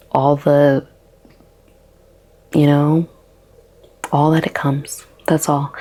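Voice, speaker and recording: A woman in her thirties with a soft, relaxing voice, talking slowly and haltingly in a casual daily vlog. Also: deep voice, creaky, rough recording. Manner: slow, halting, with many ums. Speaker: vlogger.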